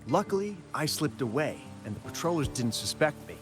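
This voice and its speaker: An actor playing a callous, brash exile criminal in a video game, dismissive and quick to shift blame. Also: male voice, fairly high pitch, big pitch swings, over music. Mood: smug, irritated. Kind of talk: English video game voice acting.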